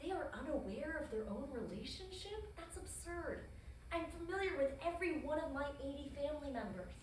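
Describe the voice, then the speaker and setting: A woman's voice: sits high, expressive, fairly quick, slight background noise. A woman reading an arrogant, mocking scientist in her twenties with a condescending air at a comedy animation table read. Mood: scornful. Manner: smug, condescending. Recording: very echoey room, distant mic.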